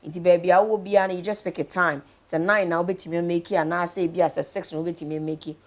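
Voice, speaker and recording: Female TV host, Ghanaian accent, lower register, casual code-switching chat. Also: steady tone, quick pace. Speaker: morning TV host.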